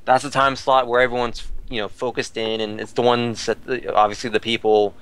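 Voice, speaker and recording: A man's voice: medium pitch, little pitch movement, brisk, a bit bright, clean tone, rough recording. A man talking casually as a podcast panelist, explaining things in a detailed, digressive way. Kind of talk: casual discussion, reporting figures.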